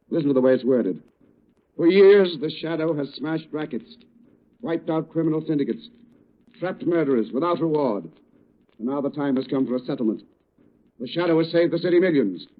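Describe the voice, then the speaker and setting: A man's voice: medium pitch, close to an everyday voice. A man playing a stern, impatient, demanding mayor in a 1930s radio drama. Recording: muffled old radio recording.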